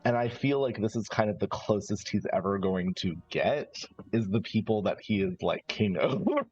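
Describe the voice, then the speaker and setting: A man chatting casually and haltingly out of character as a player in a tabletop roleplaying game. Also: on the low side, animated, darkish tone, breathy edge. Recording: dull lo-fi sound, background music.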